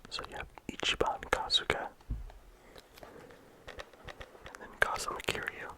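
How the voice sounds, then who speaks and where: A game reviewer talking in a soft ASMR whisper throughout, casual and rambling with many "like" fillers. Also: measured pace, low-quality recording.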